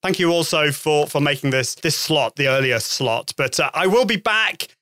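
A man hosting a live-stream podcast, chatty and lively, often restarting phrases and laughing. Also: fairly high pitch, moderate pace, no room sound, clean studio sound. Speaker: podcast host. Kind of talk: casual live-stream interview.

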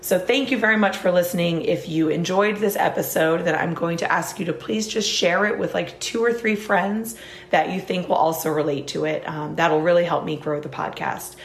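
Low-voiced, slightly hoarse woman podcast host. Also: steady tone, normal speed, echoey room. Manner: chatty, lots of "like" and restarts. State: a little hoarse. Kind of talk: casual interview chat and solo outro.

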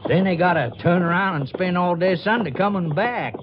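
A male actor plays a folksy, talkative trail foreman in a 1950s radio western. His easygoing country drawl is pitched slightly high, over a muffled and noisy recording. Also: normal speed, lightly acted. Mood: chatty. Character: friendly, talkative backcountry survey crew foreman. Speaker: supporting actor in a radio drama.

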